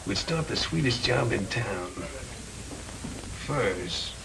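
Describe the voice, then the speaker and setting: An actor playing a shifty, slangy small-time crook in 1930s film style, with an American accent. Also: male voice, fairly low pitch, lively intonation, slow, vocal fry, background noise, heavily acted. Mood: sly, wheedling. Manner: slangy tough-guy talk. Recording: old 1930s film soundtrack, background murmur.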